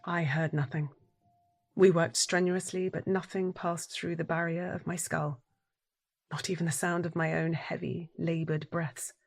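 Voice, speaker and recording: An actress playing a troubled, withdrawn wife who has lost her hearing, narrating an audio drama in a breathy, deliberate, introspective way. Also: fairly low pitch, little pitch movement, soft background music. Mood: withdrawn, reflective. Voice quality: breathy, husky.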